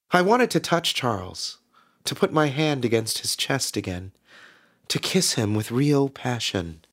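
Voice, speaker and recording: A man playing an earnest small-town radio host in a scripted fiction podcast, narrating in a theatrical, expressive way. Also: mid-range voice. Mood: wistful, restrained.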